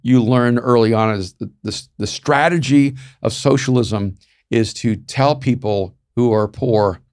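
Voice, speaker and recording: A man's voice: mid-range voice, unhurried, a little mellow. A man from El Salvador speaking emphatically in an opinionated panel discussion, often repeating his points.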